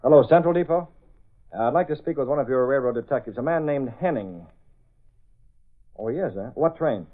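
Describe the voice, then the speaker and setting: An actor playing a confident, commanding newspaper boss and secret crimefighter in a 1930s radio drama, giving crisp orders and explanations. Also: male voice, mid-range voice, expressive, brisk, long pauses, lightly acted. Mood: calculating, commanding. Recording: old radio recording, narrow band.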